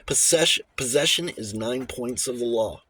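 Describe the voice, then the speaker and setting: A man's voice: mid-range voice, moderate pace, fairly bright tone, a little hiss or hum, natural voice, low-quality recording. A man voicing a little old bachelor lawyer in an audiobook, formal and precise, shifting between diffidence and relish for a fight. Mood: authoritative, relishing. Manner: hesitant stammers giving way to precise, emphatic pronouncements.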